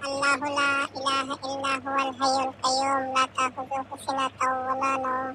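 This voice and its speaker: A woman's voice: high voice, completely flat delivery, a little fast, over music, close to an everyday voice. A woman voicing a young boy who recites a prayer verse in a cartoon, with noise behind. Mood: solemn. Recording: clearly noisy background.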